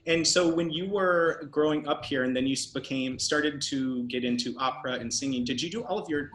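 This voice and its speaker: A man in his thirties hosting an upbeat interview over a video call from home, eager and quick to laugh. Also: a little high, a bit bright, faint music behind. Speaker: host. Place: home room. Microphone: video call, laptop camera. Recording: echoey room on a video call.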